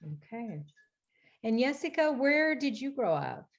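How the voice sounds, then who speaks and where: A woman in her forties hosts an interview on a video call from her kitchen. She sounds eager when she welcomes her guests. She pauses on fillers while she puts her questions together. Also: fairly high pitch, very animated. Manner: enthusiastic, with hesitant fillers when asking questions. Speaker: host, executive chef. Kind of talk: interview hosting. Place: home kitchen.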